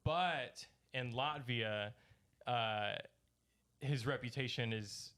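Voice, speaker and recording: A man rambling through a story in casual podcast chat, halting as he searches for words. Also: medium pitch, fairly bright tone, clear, resonant tone. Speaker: podcast host.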